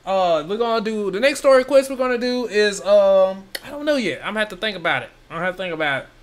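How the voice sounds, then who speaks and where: A man's voice: sits high, animated, fast talker. A young man hosting a gaming video with casual, slangy, sweary commentary that rambles along. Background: game music.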